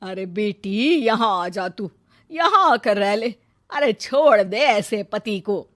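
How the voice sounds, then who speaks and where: Doting mother for a cartoon story, played by a woman, mid-pitched and chatty, dry studio sound. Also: lively intonation, takes it slowly, no echo. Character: doting middle-aged mother, indulgent and fussy. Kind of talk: Hindi cartoon story voice acting.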